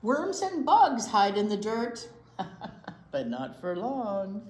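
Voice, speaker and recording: Expressive, lively woman reading to small children. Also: medium pitch. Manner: expressive, slow, explains words simply to children. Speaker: library storytime presenter, children's teacher. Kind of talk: animated picture-book read-aloud for young children. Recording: roomy echo, light background noise.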